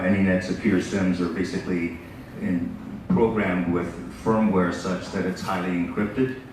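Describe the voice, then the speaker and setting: A middle-aged American man giving a conference presentation in a relaxed, conversational way, with frequent fillers. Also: low-pitched. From United States. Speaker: business development speaker. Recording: echoey hall, distant mic, background noise.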